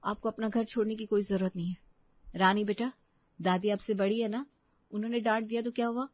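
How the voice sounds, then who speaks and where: A woman voicing a soft-hearted young mother in a story, soothing and placating. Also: medium pitch, fairly even intonation, a little fast, no room sound, muffled recording, natural voice.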